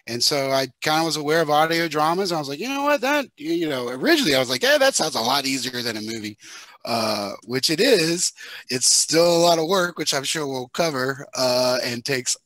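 A man's voice: fairly high pitch, animated, fairly quick, a bit bright, no echo. An American man in his forties talking casually as a guest on a panel, speaking into a headset. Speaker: writer-producer, guest panelist. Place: home room, indoors, video call. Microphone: headset with boom mic.

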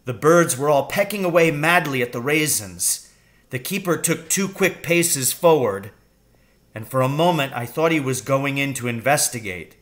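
A middle-aged man reading a children's novel aloud in a measured, suspenseful storytelling manner. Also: medium pitch, expressive, a bit bright. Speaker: storybook reader. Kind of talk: children's novel read aloud, first-person narration. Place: room at home with wooden ceiling.

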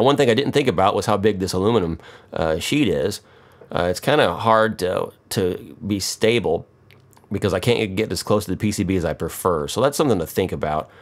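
A middle-aged American man giving casual, off-the-cuff how-to commentary in a wry, joking, self-mocking way. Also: low voice. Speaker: electronics hobbyist, video host.

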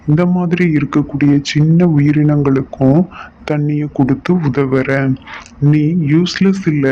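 A man playing a kind household master who speaks reassuringly and patiently in a children's cartoon story. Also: fairly high pitch. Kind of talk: children's moral story acting. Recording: dull lo-fi sound, faint noise.

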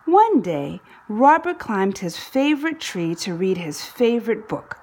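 Slow, animated woman reading a storybook. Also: medium pitch, breathy edge. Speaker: storybook reader. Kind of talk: expressive children's read-aloud narration.

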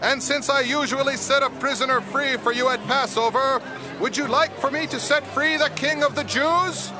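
A man's voice: very high voice, fairly even intonation, moderate pace. An actor playing an exasperated Roman governor in a scripture audio drama, commanding and emphatic as he questions and projects. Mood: loud, insistent. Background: music and crowd noise.

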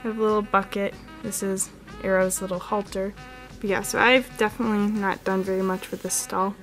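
A chatty woman at a middling pitch talks through a casual tour, filling her speech with "like" and "um". She films close on a handheld camera in a carpeted room indoors. Soft music runs faintly underneath. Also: little pitch movement, brisk. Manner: chatty, filler-heavy, frequent "like" and "um". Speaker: model horse channel host. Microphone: handheld camera filming close.

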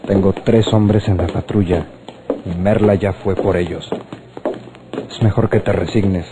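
An actor playing a stern, commanding Mexican police colonel in a radio drama, speaking in measured threats. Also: male voice, sits low, fairly even intonation. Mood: coldly threatening. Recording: noisy old radio drama recording.

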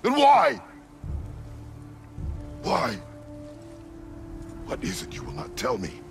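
An actor playing a stern, imposing middle-aged warrior father in a video game, gruff and gravelly, with clipped, formal, commanding speech. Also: mid-range voice, huge pitch swings, takes it slowly. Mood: urgent, commanding. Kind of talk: dramatic video game acting. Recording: loud music behind.

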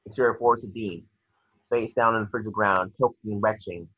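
A man's voice: on the low side, steady tone, brisk, bright, forceful tone, breathy edge, dry recording. A young man reading screenplay stage directions as narrator over a video call. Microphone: computer mic on video call. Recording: dull, dry video-call audio.

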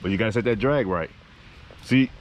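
A middle-aged man with a Southern US accent, talking casually and excitedly to his fishing vlog viewers, often repeating himself. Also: lowish voice, a little fast. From Arkansas and Louisiana, United States. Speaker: fishing channel host. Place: outdoors by a neighborhood pond. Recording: noisy outdoor recording.

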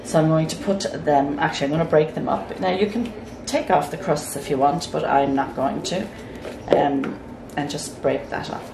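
A woman hosting a home cooking demonstration, chatty and self-mocking as she talks through each step, her voice croaky from lack of sleep. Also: deep voice, moderate pace. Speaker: home cooking channel host. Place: home kitchen. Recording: echoey noisy kitchen.

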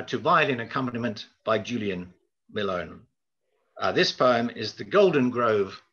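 Older male lecturer with a husky, bright voice. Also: mid-range voice. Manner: measured and formal, with hesitant pauses. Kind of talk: prepared lecture. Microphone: video call. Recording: slightly dull video call audio.

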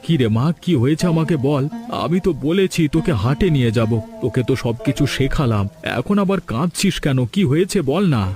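Mellow-voiced village father played by a man. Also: a little high, expressive, fairly quick, soft background music, lightly acted. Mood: concerned, comforting. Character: reassuring, hard-working village father. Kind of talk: Bengali cartoon fairy-tale voice acting.

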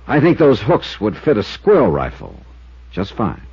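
An actor playing a hard-boiled, wry insurance investigator, with an American accent and clipped, dramatic 1950s radio delivery. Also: male voice, fairly low pitch, wildly swooping pitch, moderate pace, gravelly, darkish tone, husky, full character voice. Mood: probing. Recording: muffled 1950s radio recording with music.

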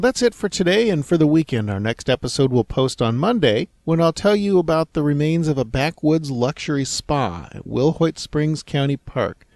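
American man, gravelly voice with fry, dry close recording, scripted podcast narration, history storytelling. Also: a little high, a little mellow, no room sound. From Oregon, United States.

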